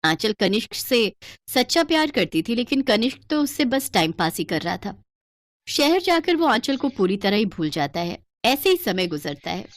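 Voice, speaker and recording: A woman's voice: fairly high pitch, big pitch swings, moderate pace, dry recording, studio quality. A woman storyteller giving dramatic scripted narration for a cartoon tale.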